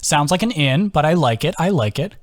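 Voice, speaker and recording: A man's voice: fairly high pitch, expressive, a little fast, dry recording, clean studio sound, voice pushed up, close to an everyday voice. A man voicing an earnest, playful young male adventurer with a casual, bantering manner in an audiobook.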